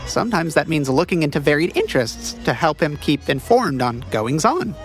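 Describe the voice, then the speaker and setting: An actress plays a government aide in a noir comedy audio drama, a guarded woman who teases and dodges. Her pitch leaps around dramatically from word to word. Music is clearly audible behind her. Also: very animated, normal speed. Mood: evasive. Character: guarded, teasing government aide on an investigation date.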